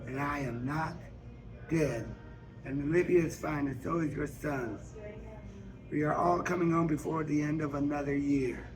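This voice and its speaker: A man's voice: on the high side, normal speed, over music. A man reading a novel aloud, delivering first-person narration written in Southern dialect. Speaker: book reader. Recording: noisy public store ambience.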